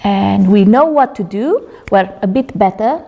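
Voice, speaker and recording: A woman's voice: medium pitch, animated, unhurried, gravelly, warm tone. A middle-aged woman from Romania presenting her doctoral research at a conference, speaking earnestly with frequent fillers and restarts. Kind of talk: academic conference talk. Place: conference room.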